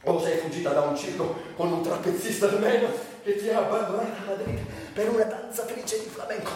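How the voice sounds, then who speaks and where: A man's voice: high voice, fairly quick, darkish tone. A man performing a theatrical literary monologue for radio, brooding, in broken phrases with dramatic swings. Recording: very echoey room, distant, faint noise.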